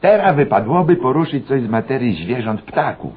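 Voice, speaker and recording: A very husky, pompous bootlicker. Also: male voice, mid-range voice, big pitch swings, normal speed. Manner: ponderous, bureaucratic, muddled recitation. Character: pompous, bootlicking dim male trainee giving a solemn recitation. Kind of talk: satirical radio cabaret acting. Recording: tinny, band-limited radio recording.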